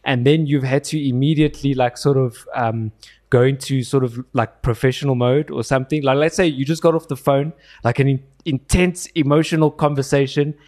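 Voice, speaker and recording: A young man co-hosting a casual film chat. His voice sits in the middle range. He talks in a halting way, restarting as he hunts for the right words. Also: mid-range voice, steady tone, darkish tone. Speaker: film podcast co-host.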